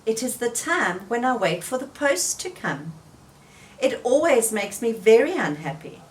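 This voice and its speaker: A woman's voice: on the high side, sing-song, unhurried. A woman voicing a gloomy, stubborn male toad in a children's storybook reading, mopey and stubborn. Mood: glum.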